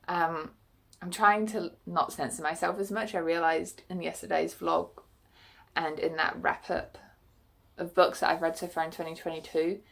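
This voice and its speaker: A young woman, chatting frankly to camera in a vlog with lots of fillers, her voice a bit croaky from being unwell. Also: fairly low pitch. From New Zealand. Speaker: book vlogger. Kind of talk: casual chat to camera.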